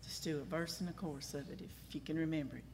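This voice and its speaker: A man's voice: fairly high pitch, quick pace, darkish tone, long reverb tail, a little hiss or hum. A man casually leading a group in a gospel song, coaxing the singers along in an informal way. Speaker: song leader.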